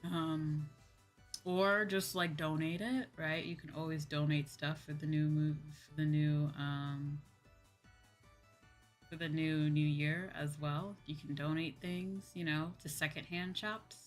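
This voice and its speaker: A woman's voice: deep voice, steady tone, slow pace. A young woman livestreamer chatting casually in a slangy, exclamatory way, like a VTuber talking to her viewers. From United States. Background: background music.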